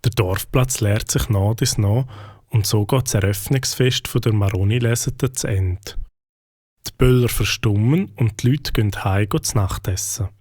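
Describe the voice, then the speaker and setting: A man's voice: lowish voice, normal speed, darkish tone, no room sound, lightly acted. A man with a Swiss German accent narrating a scripted children's story.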